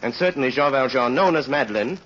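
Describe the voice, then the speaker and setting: An actor as a solemn storyteller, narrating a vintage radio drama in a measured, dramatic way. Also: male voice, medium pitch, lively intonation, fairly bright tone, a little hiss or hum, close to an everyday voice. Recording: muffled old radio recording.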